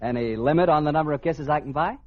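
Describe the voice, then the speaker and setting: An actor playing a cocky, wisecracking customer with brash, slangy talk in 1940s radio comedy. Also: male voice, a little high, fast talker, lightly acted. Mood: eager, brash. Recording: muffled, noisy old radio recording, studio audience.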